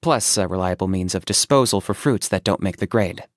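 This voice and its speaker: A stoic young hunter-for-hire from a video game, played by a man, speaks in a fairly low voice. His delivery is measured and matter-of-fact, with a businesslike deadpan. The sound is very dry and close. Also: no room sound, close to an everyday voice. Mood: calm, dry. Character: stoic young male mercenary saurian hunter, pragmatic, businesslike. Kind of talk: scripted video game character monologue.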